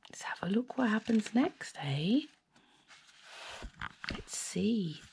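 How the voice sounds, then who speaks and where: A woman with a British accent reading a children's picture book aloud in an expressive, sing-song way, with asides to young listeners. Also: medium pitch, takes it slowly, gravelly, a little hiss or hum. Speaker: storyteller, children's channel host.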